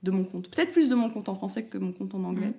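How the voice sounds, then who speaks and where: A young woman from Paris, a talkative guest in a casual interview who pauses often on fillers. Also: mid-range voice, animated, vocal fry, warm tone. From France, Paris. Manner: chatty, talkative, many fillers and restarts. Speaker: guest, content creator.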